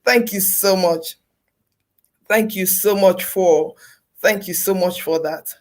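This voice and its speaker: A woman's voice: lowish voice, slow. Effusive woman host, lots of fillers. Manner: effusive, repeats thanks and phrases, many fillers. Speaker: interview host. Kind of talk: interview talk.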